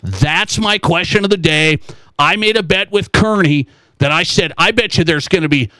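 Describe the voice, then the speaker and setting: A man with a high, bright voice talks as a sports radio host, recorded close and dry. He is conversational and animated, often posing rhetorical questions to his audience. He repeats key phrases to drive a point home. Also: normal speed, no room sound, low-quality recording.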